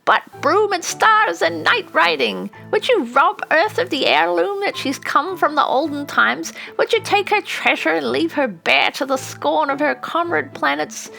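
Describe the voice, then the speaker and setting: A woman's voice: sits high, expressive, a little slow, vocal fry, hardly any pauses, sharp tone, faint music behind, voice pushed up, heavily acted, low-quality recording. A woman voicing a fierce, scornful old witch in a hissing, incantatory storybook manner.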